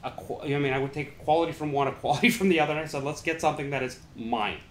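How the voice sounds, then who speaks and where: A man's voice: a little high, very fast. A middle-aged man speaks as an interview guest in a conversational, explanatory way, with some emphasis. The room around him echoes, and there is noise behind his voice. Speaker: company founder, interview guest. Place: small indoor listening room, seated. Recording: roomy echo, clear background noise.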